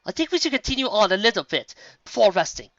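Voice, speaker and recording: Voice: medium pitch, very animated, fast talker, fairly bright tone, no room sound, dull audio, voice pushed up. A performer voicing a prim, serious, educated female pony musician who speaks formally and precisely in a story reading. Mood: earnest, worried.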